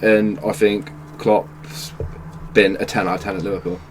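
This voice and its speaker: A man's voice: fairly low pitch, flat delivery, normal speed, a little mellow, slight background noise, poor audio. A man co-hosting a casual football podcast, building his argument step by step in a hesitant way. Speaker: podcast co-host.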